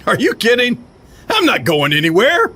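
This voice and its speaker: Brash old-school wrestling legend, sly and cocky game character, bright forceful voice with animated pitch. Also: male voice, normal speed, husky, exaggerated voice. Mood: incredulous, sly. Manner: cocky, wheeling and dealing. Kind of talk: video game cutscene acting.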